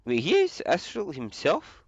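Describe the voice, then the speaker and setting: A man's voice: on the high side, wildly swooping pitch, husky, dry recording, lo-fi sound. Sick young man casting a game. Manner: casual, easygoing, with filler pauses. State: sick with flu. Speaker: esports caster. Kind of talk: solo game commentary.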